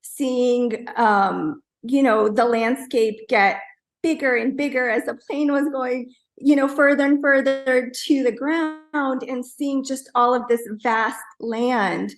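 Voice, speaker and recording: A woman's voice: sits high, slow pace. Earnest woman designer in an echoey room. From Afghanistan. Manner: earnest, many fillers like "you know" and "um". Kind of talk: reflective storytelling in an interview.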